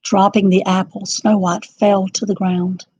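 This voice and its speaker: A woman narrating a children's storybook in an expressive, dramatic and deliberate style. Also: mid-range voice, slightly rough, no room sound, highs cut off.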